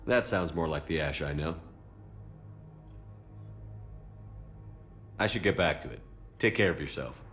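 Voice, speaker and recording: A man playing a steady, reassuring military commander in a video game, calm and measured. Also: very deep voice, faint music behind. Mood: calm, supportive.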